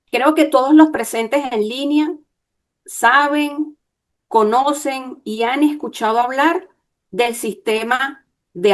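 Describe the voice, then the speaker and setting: A woman lawyer giving an online workshop, explaining in a measured way and checking in with her listeners. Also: high voice.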